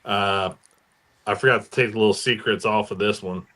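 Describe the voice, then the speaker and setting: American male podcast panelist, lower-pitched with a bright, forward edge, informal and keen. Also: steady tone, normal speed. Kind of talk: casual chat about fishing gear.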